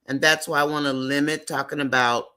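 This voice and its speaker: A man's voice: a little high, level tone, a little fast, sharp tone, clear, resonant tone. A man hosting a live stream, chatting casually and rambling with frequent fillers.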